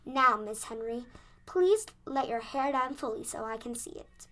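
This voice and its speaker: A woman's voice: fairly high pitch, animated, unhurried, loud music behind the voice. A girl of about ten playing a proper, composed woman shop owner in a school radio play, speaking formally. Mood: businesslike. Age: child of about ten.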